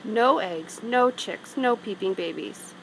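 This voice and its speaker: A woman's voice: mid-range voice, takes it slowly, a little hiss or hum, low-quality recording. A woman reading a children's story aloud in a narrating style, as a storybook reader.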